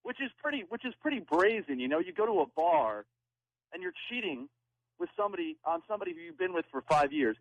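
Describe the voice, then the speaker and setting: A man with a higher-than-usual voice confronts his partner on a radio show. His pitch moves a lot, and he is accusatory, stumbling and repeating words as he builds his point. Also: big pitch swings, fast talker, no echo, narrow, tinny audio.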